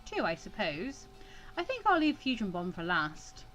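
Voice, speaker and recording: A woman's voice: medium pitch, wildly swooping pitch, measured pace, fairly bright tone, soft background music, rough recording. A woman hosting a let's play, reading visual novel text aloud and breaking off for wry, sarcastic asides.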